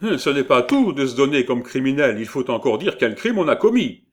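A man voicing a stubborn, impatient jailer in a storybook, with a rough voice and blunt, insistent questions. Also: medium pitch, expressive, unhurried, voice pushed up. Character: gruff, impatient male jailer, stubbornly unimpressed. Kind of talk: dramatised audiobook reading.

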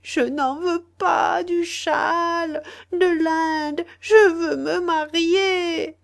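A woman reading a novel aloud and voicing a young bride-to-be who pleads slowly while crying, in a muffled, choked voice. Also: very high voice, steady tone, vocal fry, voice pushed up, full character voice. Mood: pleading.

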